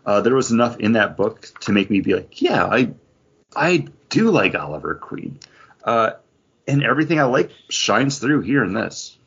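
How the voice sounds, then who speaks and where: A man's voice: on the low side, big pitch swings, moderate pace, a bit bright, slightly rough. A man co-hosting a podcast, chatting casually in a rambling, self-correcting, enthusiastic way. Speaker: comics podcast co-host. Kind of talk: casual chat reviewing comic books. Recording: dull lo-fi audio.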